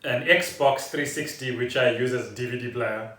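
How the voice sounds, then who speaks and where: A man co-hosting a casual podcast, speaking in halting, deliberate phrases. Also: medium pitch, fairly bright tone. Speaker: podcast co-host. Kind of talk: casual unscripted chat. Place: echoey room at home. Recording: roomy echo, distant from mic.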